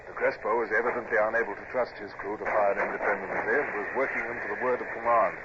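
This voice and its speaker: An actor playing a stern Royal Navy captain in a radio drama, speaking with formal, crisp authority. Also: male voice, fairly low pitch, fairly even intonation, fast talker, lightly acted. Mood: measured, grim narrating. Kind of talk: old-time radio drama acting, with first-person narration. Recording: noisy, dull old radio drama recording.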